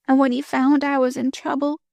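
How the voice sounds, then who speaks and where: A frightened dying girl speaks in a thin, high, breathless voice, gasping between words and drifting off into whispers. Her rustic slang and weak, urgent pleading come through close and dry, with no room around her. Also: level tone, fairly quick, vocal fry, darkish tone, no room sound.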